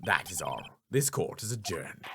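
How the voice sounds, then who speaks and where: A man's voice: low-pitched, sing-song, moderate pace, sharp tone, slight background noise, voice pushed down, exaggerated voice. A man voicing a stern, ponderous elderly judge from a video game, speaking in formal courtroom pronouncements. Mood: solemn, formal.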